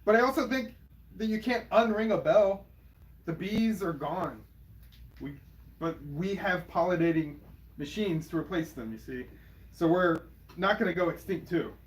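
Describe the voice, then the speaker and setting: A man's voice: high-pitched, normal speed, natural voice, poor audio. A man playing a flippant, laid-back partner in a naturalistic audio drama, speaking in a casual, wry, dismissive way. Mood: defensive, casual. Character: laid-back, flippant partner, nervous about bee stings. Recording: faint background noise.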